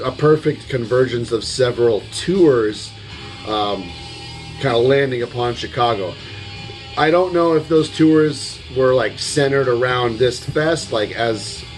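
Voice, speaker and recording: A man with a slightly hoarse voice giving a casual vlog recap, rambling and hesitant, with the odd casual swear word. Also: medium pitch, poor audio. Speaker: music vlogger. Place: at home. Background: metal music playing in the background.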